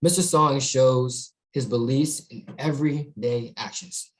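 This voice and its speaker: A man playing an earnest, assertive high school student in a screenplay table read. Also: unhurried, natural voice, poor audio.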